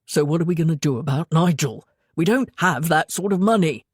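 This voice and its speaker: Blunt, exasperated British family man, acted by a female narrator, animated pitch, dry close audiobook sound. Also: fairly quick, breathy edge, dry recording. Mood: worried. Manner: blunt, colloquial British speech. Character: Kit, gruff exasperated husband and father.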